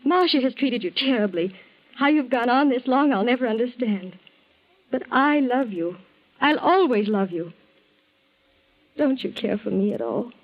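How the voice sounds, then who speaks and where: An actress playing a sweet, devoted young woman in love, soft and breathy, in a 1940s radio melodrama. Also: fairly high pitch, animated, a little slow, long pauses. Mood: ardent. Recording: dull, lo-fi 1940s radio recording.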